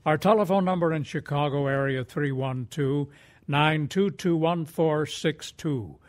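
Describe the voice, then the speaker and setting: A man's voice: fairly high pitch, a little slow. A man reading a scripted evangelistic announcement as a radio announcer.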